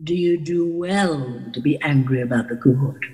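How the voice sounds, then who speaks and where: A woman's voice: low-pitched, a little slow, dark tone. A grave, reproving God voiced by an older woman in a scripture drama. The delivery is solemn and stately, ringing in a long cavernous reverb.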